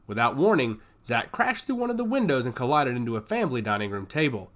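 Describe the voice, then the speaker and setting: A man narrates an action story with theatrical emphasis. His mid-range voice swings widely in pitch, giving the scripted prose a highly animated, dramatic shape. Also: fast talker.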